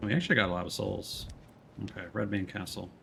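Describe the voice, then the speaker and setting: A man's voice: fairly low pitch, fast, creaky, noisy background. A man giving casual, off-the-cuff live commentary as he streams a video game.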